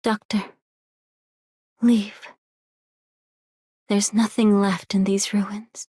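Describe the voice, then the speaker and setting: A woman voicing a bereaved, haunted game character, somber and subdued, speaking in halting phrases. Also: medium pitch, darkish tone, slightly rough, no echo, clean studio sound. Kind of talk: scripted game voice acting.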